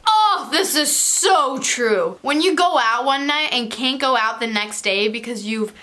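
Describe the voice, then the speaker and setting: A young woman from Turkey talks straight to her viewers in a loose, animated vlog chat. Her voice is bright and sits a little high. She rattles off quick asides. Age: young adult. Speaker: vlogger. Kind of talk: casual chat to camera.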